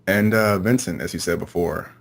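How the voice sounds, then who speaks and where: A man answering interview questions casually, in a low-key, slightly hesitant way. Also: moderate pace, vocal fry. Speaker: amateur voice actor, interview guest.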